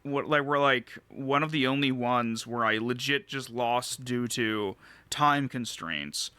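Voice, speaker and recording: Bright, clear-voiced man rambling casually. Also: medium pitch, no room sound. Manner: rambling, halting, casually profane. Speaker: video game reviewer and streamer. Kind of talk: casual unscripted ranking commentary.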